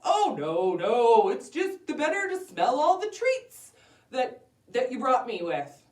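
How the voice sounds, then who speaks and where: A woman's voice: high voice, very animated, a little slow, a little mellow, pitched above the performer's own voice. Woman playing a lonely, sly big bad wolf. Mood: falsely sweet. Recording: roomy echo, distant from microphone.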